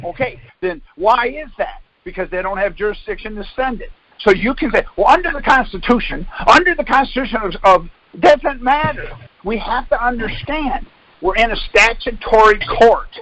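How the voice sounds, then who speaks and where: A man's voice: high-pitched, lively intonation, normal speed, pushed and bright, poor audio. A man leading a call, explaining and debating in an insistent, corrective way with pointed questions. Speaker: call leader and instructor.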